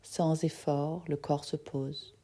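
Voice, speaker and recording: Very slow, mellow woman guiding meditation. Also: low voice, little pitch movement. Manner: soothing, soft-spoken with long pauses. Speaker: yoga nidra teacher guiding a relaxation. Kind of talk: guided meditation.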